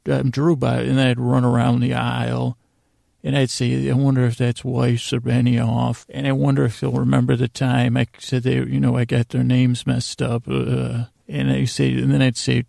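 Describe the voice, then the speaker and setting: Man, heavy gravelly creak, flat intonation, meandering bedtime podcast host, halting asides. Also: medium pitch, no room sound, studio quality. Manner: meandering, halting, self-interrupting, drifting into asides. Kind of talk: rambling solo monologue, bedtime podcast.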